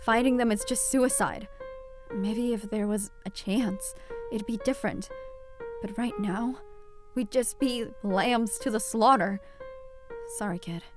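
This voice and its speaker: A woman's voice: on the high side, a little slow, music in the background, lightly acted. An actress playing a resigned, sympathetic townswoman in an audio drama.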